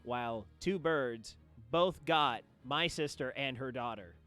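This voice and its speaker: A man streaming a game and chatting casually, telling stories with theatrical, drawn-out emphasis. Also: medium pitch, fairly bright tone, soft background music. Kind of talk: casual chat, storytelling.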